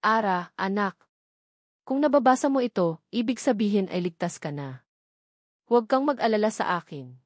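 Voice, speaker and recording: A loving older father reassures his daughter in a letter in an audio love story, as a woman narrator reads it. The delivery is even and measured, at a middling pitch, and the sound is dry. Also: no room sound, close to an everyday voice. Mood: reassuring.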